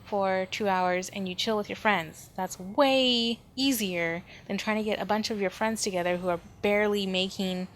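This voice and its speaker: A woman's voice: medium pitch, normal speed, few pauses. A woman hosting a solo podcast, talking casually and informally, rambling as she thinks aloud. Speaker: podcast host. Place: indoors at home, near street noise. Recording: background noise, ambient room sound.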